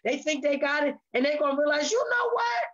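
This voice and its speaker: A woman's voice: sits high, animated, fairly quick, lo-fi sound. A woman giving folksy, preacherly encouragement in a casual interview, in short emphatic phrases.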